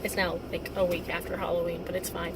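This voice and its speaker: A young woman with a hoarse voice from recent laryngitis, chatting casually about a book she read while driving, with plenty of fillers. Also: on the low side, little pitch movement, fast talker, far from the mic. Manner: chatty, rambling, many fillers. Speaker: book reviewer. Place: inside a car on the road. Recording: car cabin with loud road noise, off-mic.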